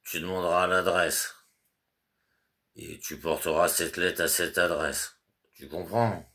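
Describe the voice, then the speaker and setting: A man's voice: low voice, fairly even intonation, slow, pushed and bright, heavily acted. A man reading aloud and voicing a fussy, anxious middle-aged clerk who stammers and over-explains his orders. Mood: flustered, insistent. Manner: flustered, repeats fillers, fussy over-explaining. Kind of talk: audiobook character reading.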